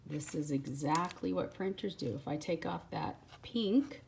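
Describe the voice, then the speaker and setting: A woman's voice: low voice, a little slow, vocal fry, a little mellow, slight background noise. A woman teacher reading a rhyming children's book aloud, with casual explaining asides spoken directly to young listeners.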